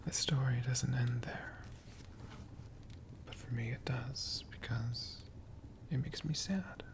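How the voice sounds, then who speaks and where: A man in a friendly dragon persona, telling stories in a slow, hushed ASMR whisper with playful asides to the listener. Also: mid-range voice, gravelly.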